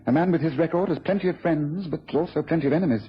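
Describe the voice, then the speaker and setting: An actor playing a shrewd, composed British detective in a mid-century radio drama, deliberate and authoritative. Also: male voice, a little high, breathy edge. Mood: analytical. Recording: muffled, noisy old radio broadcast.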